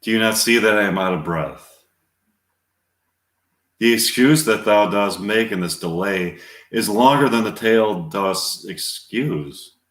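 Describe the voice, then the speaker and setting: A man reading the part of an impatient, lovesick young girl in verse, earnest and a little halting. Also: fairly low pitch, fairly bright tone. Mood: pleading. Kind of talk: amateur Shakespeare reading. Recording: echoey room.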